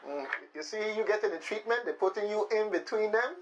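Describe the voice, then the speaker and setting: Male host, Caribbean accent, voice a touch high, halting and playful live radio chat over light background noise. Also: low-quality recording. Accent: Caribbean, Virgin Islands. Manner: playful, repeats his phrases, halting. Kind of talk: casual live radio talk. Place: radio studio with shared microphones.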